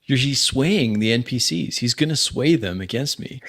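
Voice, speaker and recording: Enthusiastic man with a lively, swooping voice. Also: medium pitch, normal speed. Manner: enthusiastic, appreciative. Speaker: tabletop roleplaying player. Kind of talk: casual post-game chat.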